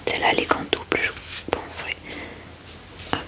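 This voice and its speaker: A woman whispers breathily and gently into a close microphone, murmuring short informal remarks as ASMR commentary. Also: moderate pace. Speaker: ASMR video creator.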